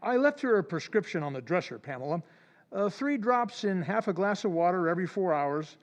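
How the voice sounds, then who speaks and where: Man as a hesitant, gentle doctor. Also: high voice, very animated, brisk. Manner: hesitant, gentle, with small fillers. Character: calm, hesitant family doctor, adult man. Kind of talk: old-time radio drama recreation.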